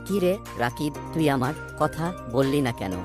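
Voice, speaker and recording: A woman's voice: extremely low pitch, moderate pace, music in the background. A woman voicing an inquisitive, sceptical young boy in casual cartoon dialogue. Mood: questioning.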